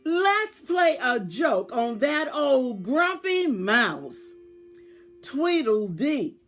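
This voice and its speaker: Casting: bright, mischievous young mouse in a storybook, read by a woman; high-pitched and eager. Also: big pitch swings, drawn-out words, soft background music, heavily acted. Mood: bright, scheming. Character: playful, mischievous little mice, eager pranksters.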